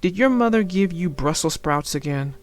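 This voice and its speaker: A woman's voice: fairly low pitch, animated, moderate pace, voice pushed down, close to an everyday voice. A woman voicing a blunt, matter-of-fact young bear in a children's storybook. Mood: blunt, teasing.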